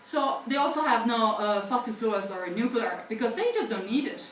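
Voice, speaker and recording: A high-voiced Italian woman talks to a small audience in a loose, self-deprecating way, filling her sentences with ums and restarts. She is caught from across the room, so her voice sounds far off and very echoey over a faint hiss. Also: expressive, moderate pace. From Italy. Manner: chatty, self-deprecating, many fillers and restarts. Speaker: guest speaker. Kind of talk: informal public talk. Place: small venue, talk to live audience.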